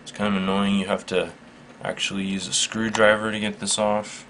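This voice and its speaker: A man giving a casual, unscripted product demonstration in a matter-of-fact, halting, measured way. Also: fairly low pitch, level tone, a bit bright. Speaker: product reviewer. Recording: faint background noise.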